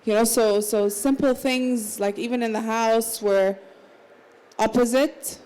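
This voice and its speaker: A woman's voice: a little high, steady tone, measured pace, darkish tone, smooth, poor audio. A woman in her thirties, raised in the Emirates, talking casually as a panel guest at a literary salon. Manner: conversational, with restarts and small laughs. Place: literary salon at a book fair.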